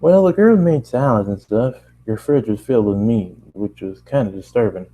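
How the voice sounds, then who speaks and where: A man's voice: on the low side, animated, normal speed, warm tone, highs cut off, slight background noise, natural voice. A man voicing a friendly, homespun female cartoon pony with a folksy, hearty manner, in a story reading. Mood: friendly, matter-of-fact.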